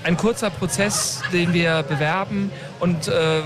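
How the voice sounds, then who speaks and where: A man's voice: sits high, steady tone, measured pace. A man heading a charity, explaining its purpose earnestly in an outdoor interview. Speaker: charity head. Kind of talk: interview answers. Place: outdoors at festival. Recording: music playing behind.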